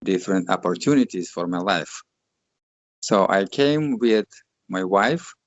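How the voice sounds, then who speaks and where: A man in his sixties from Russia with a Russian accent, answering interview questions in halting non-native English. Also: low voice, no echo, dull audio. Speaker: guest.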